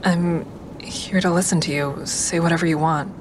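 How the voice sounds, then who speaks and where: Low-voiced, wry woman actor over noisy rumble. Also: steady tone, close to an everyday voice. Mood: calm, coaxing. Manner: measured, coaxing, dryly frank. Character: calm, wry woman taking crisis calls, narrating her thoughts. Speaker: narrator and crisis-line listener. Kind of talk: full-cast audio drama, first-person narration and dialogue.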